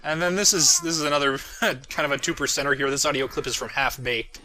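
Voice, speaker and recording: A man's voice: mid-range voice, quick pace, sharp tone, rough recording. A man giving casual, jokey and self-deprecating audio commentary as the creator of the show he is talking about.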